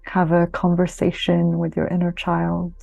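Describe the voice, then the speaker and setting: Slow, mellow woman guiding meditation. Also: on the low side, monotone, gravelly, no echo. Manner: soothing, unhurried, with long pauses. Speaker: yoga nidra guide. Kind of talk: guided meditation. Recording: close dry voice over soft music. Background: soft music.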